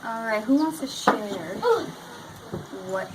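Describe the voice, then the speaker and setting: A woman's voice: fairly high pitch, animated, slow pace. A woman teacher leading an interactive read-aloud lesson, questioning and prompting her pupils in a school classroom. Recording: noisy classroom, clear background noise.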